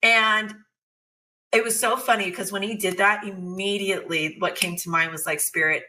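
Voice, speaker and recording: A woman talking casually and candidly about personal experience, telling it as an animated story and voicing her inner dialogue. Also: medium pitch, moderate pace. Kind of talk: casual, confessional conversation.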